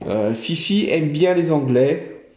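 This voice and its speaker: Male performer as a fawning monkey general, chattery, high and bouncy, roomy lo-fi sound. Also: lively intonation, takes it slowly, a little mellow. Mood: eager. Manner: obsequious, chattering. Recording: roomy, dull home recording.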